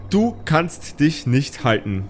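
A man's voice: fairly high pitch, big pitch swings, slow pace, very noisy. A man improvising narration as the game master of a tabletop role-playing audio play.

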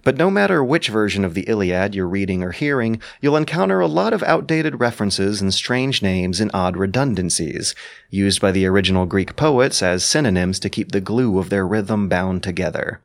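Guy with a lowish voice reading an explainer script, articulate, really leans on key words. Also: animated, normal speed, vocal fry. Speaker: narrator and adapter of an audio drama. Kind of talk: scripted commentary, explanatory narration.

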